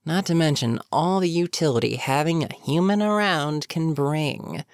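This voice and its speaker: A voice actor playing a bitter, menacing goblin captain in a fantasy audio roleplay, speaking to a captive with sardonic, unhurried menace. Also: mid-range voice, smooth, dry recording, clean studio sound, natural voice. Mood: smug, matter-of-fact. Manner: sardonic, unhurried, matter-of-fact threats. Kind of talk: scripted fantasy audio roleplay, addressing the listener.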